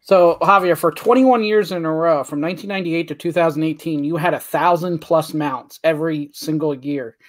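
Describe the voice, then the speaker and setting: A man's voice: a little high, a bit bright. A man co-hosting a show, asking a guest detailed, inquisitive questions over a video call. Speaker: show co-host. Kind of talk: interviewing a guest.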